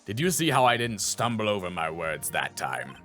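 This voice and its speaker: A man voicing a stern, formal old trial judge from a video game, slow-witted and ponderous. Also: low-pitched, very animated, fairly bright tone, soft background music, pitched below the performer's own voice.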